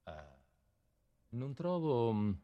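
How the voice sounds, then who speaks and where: A man co-hosting a radio show, with an average-pitched voice. He talks casually and playfully, hesitating and then slipping into wry mock formality. The sound is very dry, with no room to it. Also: very animated, very slow, no echo, highs cut off.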